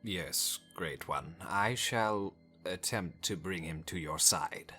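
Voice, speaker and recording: A man playing a grave, formal high cleric and mentor in a dark fantasy visual novel, with measured delivery. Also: heavily acted. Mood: uneasy, deferential. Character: male vampire high cleric, grave mentor, deferential servant. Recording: music behind the voice.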